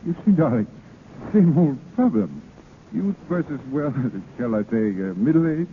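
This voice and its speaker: A man's voice: on the high side, sing-song, unhurried. A man acting a proud, formal, middle-aged husband in an old radio drama, with clipped theatrical diction. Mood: tender, resigned. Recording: scratchy, tinny old radio broadcast.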